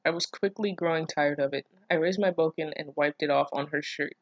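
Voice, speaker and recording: A casual online reader with a mid-range voice, recorded close and dry. The reading slips often into expressive, incredulous commentary, with plenty of swearing. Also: mid-range voice, a little fast, no room sound, highs cut off. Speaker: online reader reacting to fan fiction. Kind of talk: casual read-aloud with running commentary.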